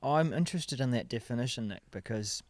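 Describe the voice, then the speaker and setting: A man with a New Zealand accent, a guest on a podcast, answering in a casual, agreeable way with quick short replies. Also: mid-range voice, lively intonation, dry recording. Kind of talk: casual chat.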